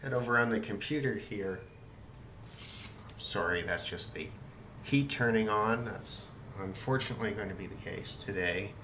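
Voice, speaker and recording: A man's voice: fairly low pitch, moderate pace, sharp tone, slight background noise, poor audio. A man giving a casual, unscripted demonstration, matter-of-fact and thinking aloud as he goes. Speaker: radio hobbyist demonstrating a receiver. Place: indoors at home in a city.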